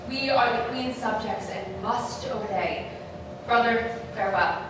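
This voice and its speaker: A woman playing a scheming, bitter royal villain in a theatrical Shakespearean staged reading, with dramatic, weighted pauses. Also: fairly high pitch, lightly acted. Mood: false reassurance. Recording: very echoey noisy hall, distant mic.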